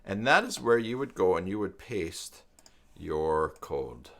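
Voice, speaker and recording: Low-pitched man rambling through a tutorial. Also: very animated, slow pace, vocal fry, a bit bright. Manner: rambling, thinking aloud while demonstrating. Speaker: live stream host and web tutorial instructor. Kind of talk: casual live screen-share tutorial with chat.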